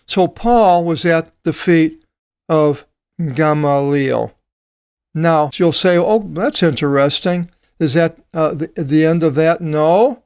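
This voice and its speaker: A man teaching a Bible study, reading passages aloud and commenting on them in a deliberate way with frequent pauses. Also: on the high side, clear, resonant tone, no room sound, clean studio sound.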